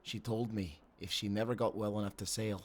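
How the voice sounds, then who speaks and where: An actor playing a devoted, seasoned old sailor in an audio drama, speaking as an earnest storyteller with old seafaring turns of phrase. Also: male voice, fairly low pitch, steady tone, brisk, lightly acted. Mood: wistful, solemn. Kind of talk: cinematic audio drama acting.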